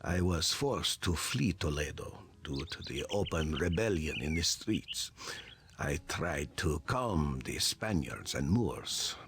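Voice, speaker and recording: A man's voice: extremely low pitch, gravelly, a bit bright, exaggerated voice. An actor playing a courteous, earnest medieval nobleman in a strategy game, speaking formally. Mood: weary, earnest. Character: grave, courteous medieval male lord's envoy, pleading for aid. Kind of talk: scripted game dialogue.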